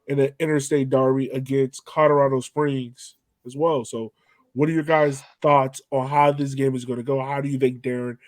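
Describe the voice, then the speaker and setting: A man's voice: mid-range voice, little pitch movement, moderate pace, dry recording. A man hosting a podcast, chatting casually about sport in an informal way. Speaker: podcast host.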